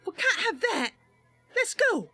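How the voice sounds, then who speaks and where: Woman voicing a high, eager young elf adventurer. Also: sing-song, slow pace, sharp tone, soft background music, exaggerated voice. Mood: eager, urgent. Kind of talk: voice-acted fantasy game roleplay.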